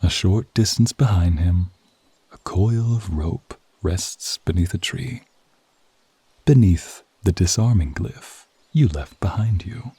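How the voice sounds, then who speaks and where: Low voiced guy doing asmr story narration, slow and dramatic, whispery, super dry close recording. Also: mellow, husky, no room sound. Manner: dramatic, intimate, often dropping to a whisper. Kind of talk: ASMR roleplay narration, scripted second-person storytelling.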